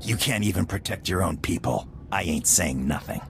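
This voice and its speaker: An actor playing a defiant but cowardly street thug in a video game, rough-spoken and sneering. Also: male voice, low voice, lively intonation, moderate pace, a little hiss or hum. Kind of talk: video game character acting.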